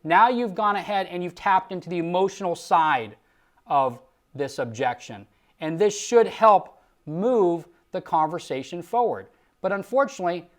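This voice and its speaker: A man working as a sales trainer, giving instructional commentary in an emphatic, rhetorical coaching style and acting out example lines. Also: high voice. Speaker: Medicare sales trainer and host.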